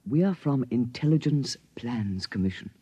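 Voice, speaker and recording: A clipped intel officer, old radio play, bit hesitant, dry muffled recording. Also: male voice, mid-range voice, animated, measured pace, warm tone, breathy edge, no echo. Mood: hesitant, businesslike. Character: reserved, businesslike British intelligence officer. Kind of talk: old-time radio drama acting. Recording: dull vintage radio recording.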